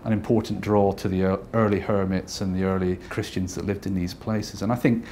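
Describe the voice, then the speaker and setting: A man from Scotland who is a painter, talking thoughtfully and unhurriedly about his work, with pauses and fillers. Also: deep voice, level tone, darkish tone, a little hiss or hum.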